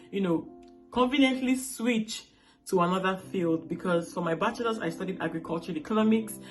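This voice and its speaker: A woman in her late twenties from Nigeria, with a Nigerian accent and Igbo as her first language, vlogging in a casual, chatty way and checking in with viewers often. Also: low-pitched. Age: late 20s. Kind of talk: casual talk to camera.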